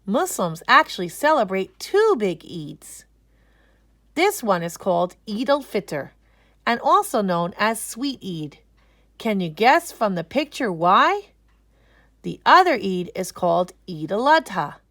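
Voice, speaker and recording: A woman narrating a children's storybook aloud, expressive and explaining things for young listeners. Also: medium pitch, a little slow, dry recording, studio quality.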